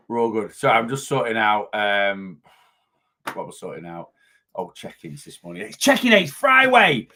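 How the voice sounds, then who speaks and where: A man, a weight loss coach chatting casually in a vlog, a little hoarse from losing his voice and rambling as he goes. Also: mid-range voice, fairly bright tone. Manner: chatty, rambling, self-interrupting.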